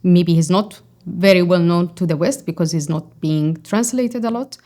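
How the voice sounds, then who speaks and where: Woman, 40s, Arabic-accented English, lowish voice; reflective, hesitant interview delivery. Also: normal speed, dark tone. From Yemen. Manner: thoughtful, with frequent fillers and restarts. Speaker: poet and scholar.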